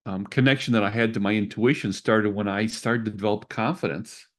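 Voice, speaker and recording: A male radio co-host with a fairly low voice. He explains spiritual and self-help ideas in a measured, instructive manner.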